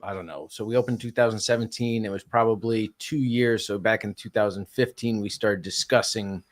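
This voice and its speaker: A man in his forties with a fairly low voice, speaking as an interview guest. He explains things casually and plainly, with a matter-of-fact delivery. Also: fairly quick. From Norwich, Connecticut, United States. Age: 40s. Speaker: interview guest, cidery owner.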